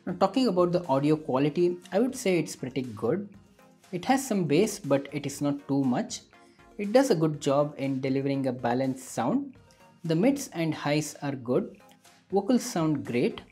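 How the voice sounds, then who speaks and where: A man narrates a tech product review in a mellow, slightly rough voice. His delivery is that of a scripted explainer. Faint music plays behind him. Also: on the high side, big pitch swings, normal speed.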